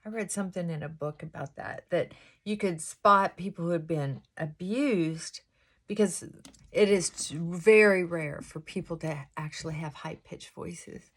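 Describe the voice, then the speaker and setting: A middle-aged woman vlogging casually while doing her makeup, reflective and confessional, with a fairly high voice she deliberately pulls down and soft whispered asides. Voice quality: fairly high-pitched voice she works to pull down. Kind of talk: casual get-ready-with-me chat.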